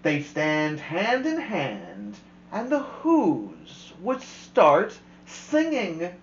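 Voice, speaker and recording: Slow, lively man reading a picture book. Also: on the high side, a little hiss or hum. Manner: slow, expressive, drawn-out rhymes. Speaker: storytime host reading to a child. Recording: roomy, slightly dull home recording.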